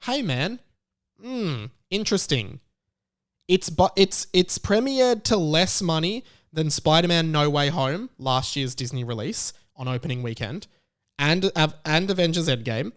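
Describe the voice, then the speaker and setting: Male podcast host, voice a little on the high side, conversational commentary, emphatic and sure of his opinions. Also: expressive, unhurried, a bit bright. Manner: opinionated, emphatic, self-assured.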